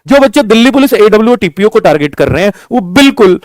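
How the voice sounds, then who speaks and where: High-pitched man, animated teacher. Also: fast, no room sound, clean studio sound. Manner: explanatory, addresses students as children, frequent check-in phrases. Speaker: coaching teacher and channel host announcing a class. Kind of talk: informal exam-coaching talk to students.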